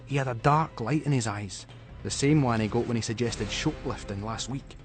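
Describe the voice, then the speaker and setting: A man's voice: mid-range voice, normal speed, faint music behind. A man with a Scottish accent giving a dramatic, suspenseful narration of a story in colloquial Scots dialect.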